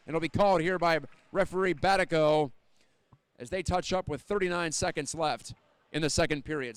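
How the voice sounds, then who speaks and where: A man doing live audio play-by-play of an ice hockey game as the announcer, describing the action in a measured, detailed way that lifts with the play. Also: high voice, fairly bright tone, no room sound. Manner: measured, descriptive, lifting on scoring chances. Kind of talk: live ice hockey radio-style commentary.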